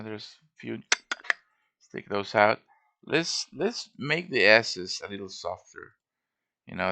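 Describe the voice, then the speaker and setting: A man's voice: on the low side, gravelly, sharp tone. A man giving a casual show-and-tell tutorial as a game modder, informal and thinking aloud as he works.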